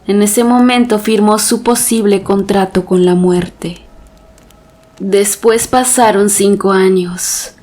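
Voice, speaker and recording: A woman's voice: mid-range voice, a little mellow. Woman narrating a scripted horror story.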